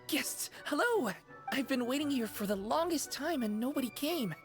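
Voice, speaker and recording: Dreamy, muddled young concierge with lively intonation. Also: female voice, sits high. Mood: drowsy, flustered. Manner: dreamy, halting, theatrical storytelling. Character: sleepy, muddled, dreamy young female concierge, polite and earnest. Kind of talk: scripted video game character dialogue. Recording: game music behind the voice.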